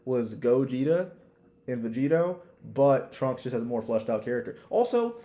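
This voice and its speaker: Mellow young man, slangy and profane. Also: medium pitch, animated, moderate pace. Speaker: podcast co-host. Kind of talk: casual opinionated fan chat.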